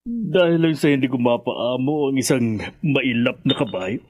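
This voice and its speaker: Radio drama role: male actor as humble, aggrieved Filipino stable worker, husky mid-range voice. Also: mid-range voice, normal speed, natural voice. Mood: drunk, bitter. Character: meek, resentful male horse tamer, longtime hacienda servant.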